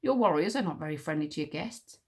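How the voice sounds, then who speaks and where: A woman's voice: low voice, expressive, quick pace, darkish tone, breathy edge. Woman playing a cocky, boastful young wizard boy. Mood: indignant. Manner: cocky, grandiose. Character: boastful, moody thirteen-year-old wizard boy. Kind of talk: audiobook character voice.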